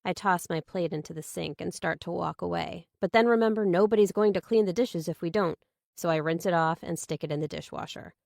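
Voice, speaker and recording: Low-pitched woman narrating a thriller audiobook. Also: a little fast, vocal fry, a little mellow, no echo, studio quality. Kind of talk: scripted first-person thriller narration.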